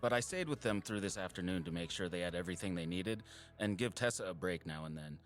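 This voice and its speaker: An actor playing an earnest, caring male wildlife surveyor in an audio drama, casual, sincere and expressive. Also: sits low, fast, soft background music. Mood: relieved, tired. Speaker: habitat surveyor.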